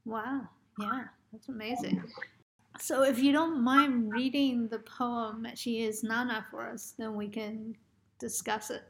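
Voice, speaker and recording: A woman's voice: on the high side, fairly even intonation, takes it slowly, vocal fry, fairly bright tone, slight background noise, rough recording. A woman interviewing a poet, asking questions and giving short encouraging replies. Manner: brief encouraging acknowledgements.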